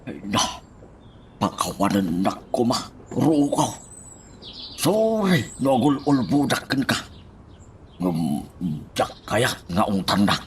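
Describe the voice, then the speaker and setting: An actor with a Filipino accent playing a hesitant, apologetic accomplice in a radio drama, full of small interjections. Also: male voice, mid-range voice, very animated, takes it slowly, long pauses, slight background noise.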